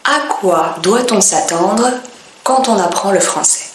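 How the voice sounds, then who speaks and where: A woman from France, a French teacher, speaking in a clear, deliberate way with pauses between phrases. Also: medium pitch, animated, echoey room, over loud music, rough recording. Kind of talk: slow, careful teaching monologue.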